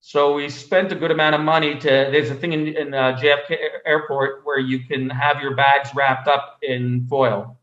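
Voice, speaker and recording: Echoey, halting man telling personal stories. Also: mid-range voice, flat delivery, fairly bright tone. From Canada (Montreal). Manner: halting, repeats words as he goes. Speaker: interview guest. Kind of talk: conversational personal storytelling. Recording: roomy echo, slightly dull sound.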